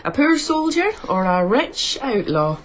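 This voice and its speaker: A shrewd, mercenary farm widow in a radio drama. She is forthright and scornful, with a swooping, animated delivery. There is slight ambient noise behind her. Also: fairly high pitch, a little slow. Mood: scornful, amused. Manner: mocking, forthright, laughs as she talks.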